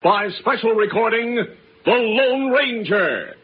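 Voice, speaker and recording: A man's voice: extremely high pitch, animated, slow pace. A man announcing in a dramatic 1940s radio style, grand and declamatory. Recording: muffled vintage radio recording with music.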